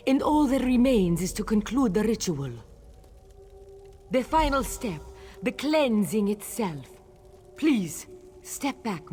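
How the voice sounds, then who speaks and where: An actress playing a serene cat-folk priestess leading a sacred ritual in a video game, solemn, measured and commanding. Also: female voice, mid-range voice, darkish tone. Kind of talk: ceremonial video game dialogue.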